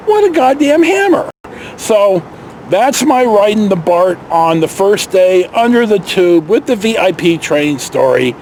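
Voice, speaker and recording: High-pitched, animated older American man, rambling. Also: a little slow, slight background noise. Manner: folksy, digressive, mild swearing. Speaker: vlog host telling personal stories. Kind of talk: casual rambling storytelling. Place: outdoors in a park.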